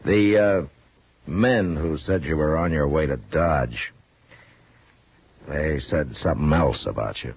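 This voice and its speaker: An actor playing a steady, frank frontier marshal in an old-time radio western, somewhat halting when he has something awkward to say. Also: a bass voice, husky, exaggerated voice. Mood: uneasy, earnest. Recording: noisy muffled old radio recording.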